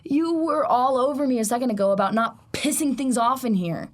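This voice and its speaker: A woman's voice: fairly high pitch, brisk, lightly acted. A woman playing a stressed, defiant driver in an audio drama, speaking bluntly and snappily in a naturalistic style. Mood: irritated.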